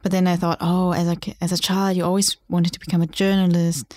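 A woman's voice: fairly low pitch, little pitch movement, a little fast, a little mellow, no room sound, studio quality. A young woman from Germany whose first language is German, a journalist and writer, talking reflectively in a casual interview and laughing often.

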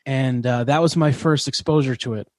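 A man's voice: mid-range voice, moderate pace, a little mellow, dry recording, studio quality. A man hosting a podcast, telling stories in a casual, chatty and enthusiastic way. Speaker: podcast host. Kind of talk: casual conversational interview.

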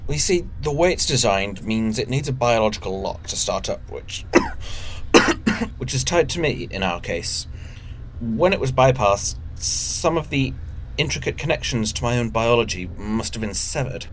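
Man playing a sick, halting, stubborn crew leader. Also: medium pitch, lively intonation, slight background noise, close to an everyday voice, poor audio. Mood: weary explaining. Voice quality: coughing, weak. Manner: halting, formal, reluctant. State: ill, coughing. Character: sick, stubborn older male time-travel crew leader. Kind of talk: audio drama acting.

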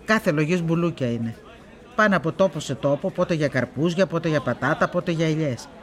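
A woman's voice: deep voice, animated, a faint crowd. Stern, guarded old farm woman. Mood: guarded, matter-of-fact. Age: older. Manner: dismissive, curt. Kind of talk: naturalistic radio drama acting.

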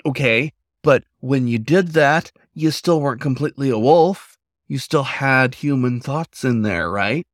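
A man's voice: medium pitch, measured pace, no room sound, clean studio sound, close to an everyday voice. A man voicing a nonbinary werewolf cook in a story, an earnest, emphatic explainer with a colloquial way of talking. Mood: emphatic, explaining.

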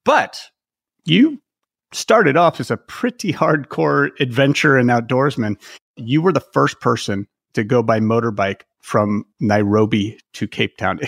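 A man's voice: medium pitch, animated, a little slow, gravelly, no echo, clean studio sound. A man hosting a travel podcast, introducing his guest with enthusiasm and asking questions. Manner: enthusiastic, admiring, some restarts. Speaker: podcast host.

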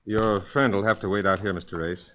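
An actor playing a curt, official prison guard with a clipped, formal manner in a 1940s radio drama. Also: male voice, fairly low pitch, fairly even intonation, a little fast, dull audio, close to an everyday voice. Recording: noisy 1940s radio recording.

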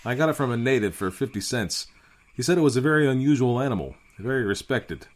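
A man's voice: medium pitch, animated, quick pace, voice pushed up, lightly acted. A man voicing a meek, nervous spaceship crewman in a storybook, deferential and apologetic.

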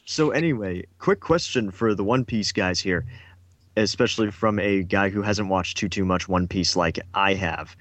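Deep-voiced young male podcaster, easygoing and ribbing, dry close sound. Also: moderate pace, fairly bright tone, dry recording. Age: 20s. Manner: teasing, easygoing. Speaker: podcast co-host. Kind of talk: casual podcast chat.

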